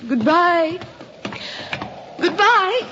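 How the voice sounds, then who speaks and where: An actress in a 1950s radio drama playing a tender, anxious, hopeful aunt with an earnest, theatrical delivery. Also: female voice, extremely high pitch, very animated, extremely slow, sharp tone, a little hiss or hum, exaggerated voice. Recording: muffled old radio recording.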